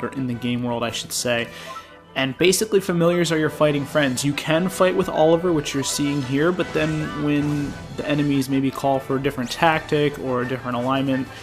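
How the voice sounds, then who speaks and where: A man's voice: mid-range voice, vocal fry. Man, nasal and congested, game editor, casual preview commentary, mid-range voice. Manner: casual, chatty, spontaneous.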